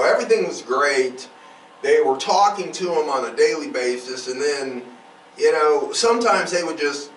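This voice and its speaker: A man hosts a casual podcast in a rambling, explanatory style. His mid-pitched voice moves up and down a lot. It is picked up from a little distance in an echoey room. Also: a bit bright. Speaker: podcast host. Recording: roomy echo, distant mic, faint noise.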